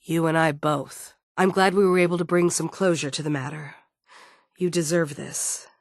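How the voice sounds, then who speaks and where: A woman's voice: low-pitched, fairly even intonation, moderate pace, no echo, clean studio sound. A woman voicing a stern, guarded android security chief in a video game, firm and businesslike. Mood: firm, curt. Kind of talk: scripted game dialogue.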